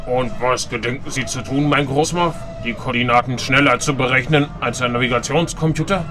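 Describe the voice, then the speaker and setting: A man's voice: mid-range voice, steady tone, brisk, close to an everyday voice. Man as stern space admiral over music. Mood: sceptical, formal. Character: older male space admiral, stern, sceptical, commanding.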